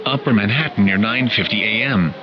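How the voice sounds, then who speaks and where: A man's voice: lowish voice, brisk, close to an everyday voice. Man as automated emergency weather announcer, dull radio sound, heavy noise, formal, impersonal, scripted bulletin. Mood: grave, impersonal. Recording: muffled, very noisy weather radio broadcast.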